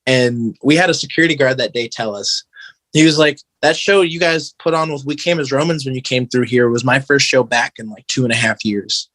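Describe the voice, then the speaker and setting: A man's voice: medium pitch, brisk, a bit bright, no echo, low-quality recording. An American man talking casually as an interview guest, earnest and informal, with slangy phrasing and lots of "like". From American Midwest. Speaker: podcast guest, band guitarist.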